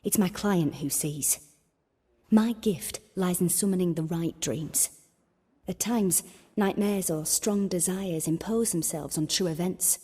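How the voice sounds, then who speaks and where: A low, husky dream seer. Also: female voice, dark tone. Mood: calm, instructing. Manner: measured, instructing. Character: calm, solemn female dream seer, gently commanding. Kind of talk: video game dialogue.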